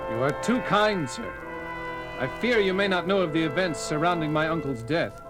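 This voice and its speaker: An actor playing an earnest, proud young baron on the run, formal and melodramatic, in a 1970s horror audio drama. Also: male voice, a little high, expressive, normal speed, natural voice. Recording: dramatic music behind the voice. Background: music.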